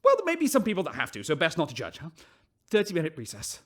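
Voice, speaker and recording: A wry, officious captain. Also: male voice, a little high, huge pitch swings, quick pace. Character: middle-aged ship captain presiding at trial. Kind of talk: sci-fi radio drama.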